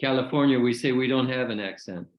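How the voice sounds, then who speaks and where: A man's voice: mid-range voice, fast talker. An older American man with a slight French tinge to his accent, a guest osteopath chatting hesitantly on a video call. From United States. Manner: hesitant, many fillers. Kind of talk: casual video call chat. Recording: roomy, dull video call audio.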